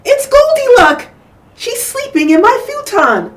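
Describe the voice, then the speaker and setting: A woman voicing an upset little boy in a storybook read-aloud, wailing and shouting. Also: high voice, drawn-out words, far from the mic, voice pushed up. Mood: excited. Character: indignant little boy, the family's child.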